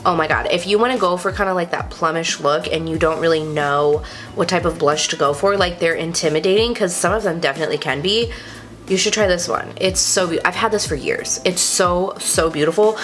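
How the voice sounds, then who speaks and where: A woman's voice: lowish voice, brisk, a bit bright. A young woman, congested from a cold, chatting casually and gushing about makeup with lots of "like" fillers. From Texas. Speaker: beauty vlogger. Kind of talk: casual chatty product review.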